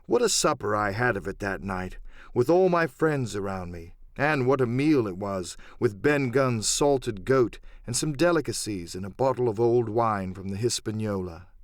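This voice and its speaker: A man narrating a classic adventure audiobook in an expressive, dramatic storytelling manner. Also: lowish voice, moderate pace, no room sound, studio quality. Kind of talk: dramatic scripted literary narration.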